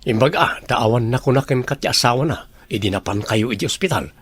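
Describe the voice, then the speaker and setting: A man with an Ilocano accent acting a nosy, doubting companion in a radio drama, questioning in an animated way. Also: mid-range voice, quick pace, breathy edge. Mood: teasing, curious.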